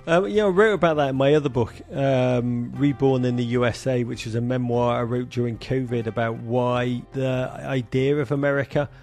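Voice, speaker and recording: A man's voice: mid-range voice, steady tone, normal speed, few pauses, darkish tone, soft background music. A man from England with a Liverpool accent, a soccer broadcaster and author, talking animatedly in an interview with many fillers. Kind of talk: conversational interview.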